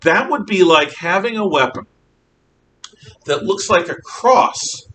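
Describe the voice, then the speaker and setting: A man lecturing a class as a history teacher, conversational, animated and emphatic in his explanations. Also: takes it slowly. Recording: noisy rumbling room, distant mic.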